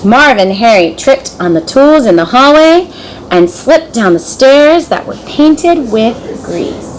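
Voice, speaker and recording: Female storybook reader, high-pitched, dramatic and expressive, with some background noise. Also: slow, poor audio. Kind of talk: expressive scripted children's story narration.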